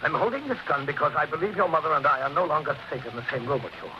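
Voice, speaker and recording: A man's voice: a little high, rapid-fire, close to an everyday voice. A man playing an agitated, bullying stepfather who questions accusingly, in old-time radio suspense style. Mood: menacing. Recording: muffled, noisy 1940s radio recording.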